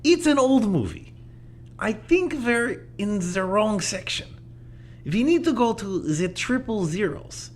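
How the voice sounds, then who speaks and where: A man's voice: high-pitched, very animated, slow pace, full character voice. A man playing a genial little old man who loves the paranormal, speaking in an erudite, musing, theatrical way in an audio drama. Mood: eager, playful. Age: older.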